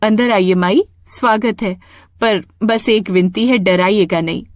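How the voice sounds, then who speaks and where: A woman voicing a kind, soft-hearted village mother in a storybook tale, with an expressive, pleading way of talking. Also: mid-range voice, measured pace, dry recording, studio quality, close to an everyday voice. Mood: welcoming.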